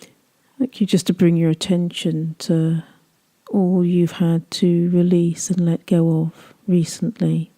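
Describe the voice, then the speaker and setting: A woman leading a guided meditation, speaking gently in soothing, unhurried phrases that trail off softly. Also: fairly low pitch, flat delivery.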